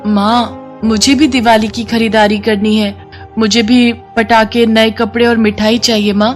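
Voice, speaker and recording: A woman voicing a quiet, wistful poor little girl in a storybook tale, timid and polite. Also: on the high side, little pitch movement, measured pace, faint music behind, close to an everyday voice. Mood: sad, wistful.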